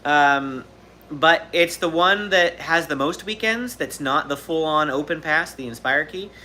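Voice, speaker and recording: Bright-voiced chatty man in his thirties. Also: on the high side, measured pace. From Southern California. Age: 30s. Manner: chatty, rambling with fillers and restarts. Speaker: livestream host. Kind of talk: casual live Q&A chat.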